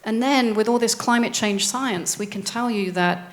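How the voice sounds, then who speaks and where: A woman's voice: a little high, moderate pace. A woman oceanographer on a panel, speaking conversationally and tossing in rhetorical questions. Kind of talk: panel discussion.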